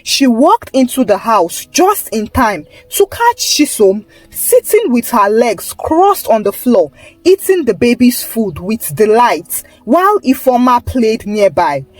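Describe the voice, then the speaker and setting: A woman's voice: on the high side, very animated, measured pace, no room sound. A woman storyteller reading a scripted folktale narration.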